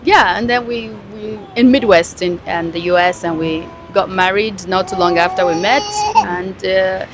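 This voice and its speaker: A woman's voice: medium pitch, normal speed, few pauses, noisy background. Chatty woman from Nigeria, laughing often. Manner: chatty, laughs often, trails off mid-sentence. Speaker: guest, family vlogger. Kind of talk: casual interview chat.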